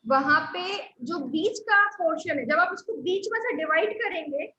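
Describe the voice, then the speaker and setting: High bright-voiced woman teaching therapy. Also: expressive, moderate pace, reverberant, lo-fi sound, slight background noise. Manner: patient, repetitive step-by-step instruction. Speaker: Sujok therapy instructor. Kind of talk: live online health class.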